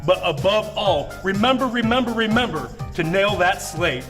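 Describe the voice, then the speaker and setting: A man's voice: high voice, expressive, moderate pace. A man, a co-host, emphatically reading a scripted list of reminders and repeating words to stress them. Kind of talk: scripted recap. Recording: clear music under voice.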